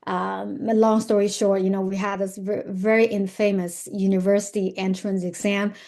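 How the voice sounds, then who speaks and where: A woman's voice: mid-range voice, little pitch movement, moderate pace, vocal fry, darkish tone. A woman in her thirties from China with a Chinese accent, a podcast guest talking casually and earnestly, with many fillers and restarts. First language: Zhuang dialect or Mandarin. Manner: laughs easily. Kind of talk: casual interview chat.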